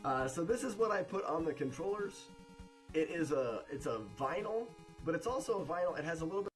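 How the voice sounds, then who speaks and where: A man's voice: on the high side, animated, fairly quick, breathy edge, soft background music, low-quality recording. A man live streaming a game in a casual way, chatting to viewers and thinking aloud as he goes. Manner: talks to chat, thinking aloud, muttering.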